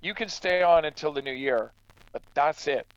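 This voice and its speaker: Man playing a blunt, highish-voiced stern farmer. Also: normal speed, muffled recording, close to an everyday voice. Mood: stern, dismissive. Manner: blunt, admonishing. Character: stern, practical Canadian farmer, blunt but fair-minded. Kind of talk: true crime radio drama acting.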